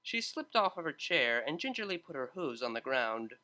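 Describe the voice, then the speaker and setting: A man narrating a fan-written story in a steady, measured reading. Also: mid-range voice. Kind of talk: fanfiction audiobook narration.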